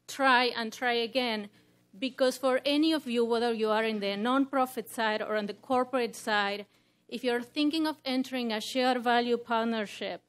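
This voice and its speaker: A woman in her thirties speaking on a conference panel at a podium, giving earnest advice to the audience. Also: fairly high pitch. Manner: earnest, advisory. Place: conference hall stage at a podium. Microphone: podium microphone.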